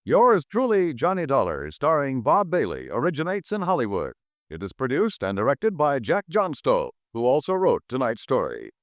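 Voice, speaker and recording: A man announcing on 1950s radio in a smooth, formal, measured way. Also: medium pitch, huge pitch swings, no echo.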